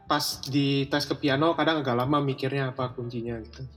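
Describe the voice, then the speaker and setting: A man's voice: medium pitch, fairly even intonation, fairly quick. A man with a Jakarta Indonesian accent hosting a casual podcast chat, informal and often repeating short affirmations. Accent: Indonesian, Jakarta colloquial. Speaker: podcast host. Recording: echoey hall sound, music behind.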